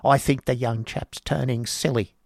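A man voicing a cold, sharp-tongued middle-aged woman who talks slowly with tart retorts, in a storybook reading. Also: medium pitch, steady tone, vocal fry, no echo, studio quality, voice pushed up.

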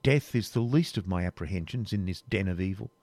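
A man reading an audiobook, voicing a proud, resolute young woman captive with a raised pitch and earnest, steady pleading. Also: fairly quick, no echo. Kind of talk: audiobook reading, period novel.

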